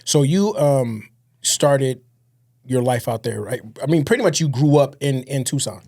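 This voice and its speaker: A man with a gravelly edge to his voice co-hosts a casual podcast. His talk is laid-back and slangy, with swearing mixed in. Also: mid-range voice, lively intonation, measured pace, a little mellow. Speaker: podcast co-host.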